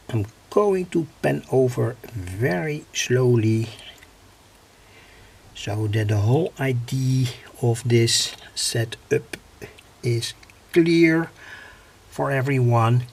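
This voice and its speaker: Husky, gravelly man explaining, slow and halting. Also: medium pitch, darkish tone. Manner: halting, piecemeal phrasing with pauses. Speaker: hobby electronics video maker. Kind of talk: informal hands-on technical explanation.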